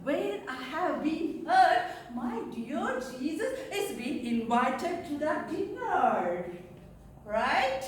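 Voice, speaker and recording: A woman performing a lonely, repentant outcast woman in an emotional, theatrical monologue with emphatic pauses. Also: sits high, big pitch swings, exaggerated voice. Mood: anxious. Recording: echoey room, distant mic, faint noise.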